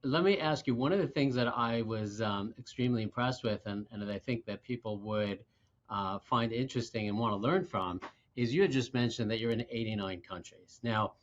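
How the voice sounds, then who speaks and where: Chatty host from Brooklyn, dull lo-fi audio. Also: male voice, mid-range voice, brisk. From Brooklyn, New York. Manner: chatty, self-deprecating, enthusiastic. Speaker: podcast host. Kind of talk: interview host.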